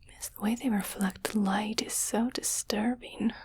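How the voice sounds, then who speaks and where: Casting: woman to play a haughty, squeamish female alien for ASMR roleplay; very breathy whisper, unhurried and deliberate. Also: medium pitch, steady tone, darkish tone. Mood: fascinated disdain. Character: wealthy female alien, condescending, fascinated yet disgusted by humans.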